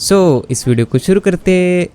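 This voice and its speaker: A man's voice: sits high, animated, very fast, mellow, clean tone. A young Indian man, a native Hindi speaker, doing casual gameplay commentary and slipping between Hindi and English. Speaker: gaming video host. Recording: faint game music behind.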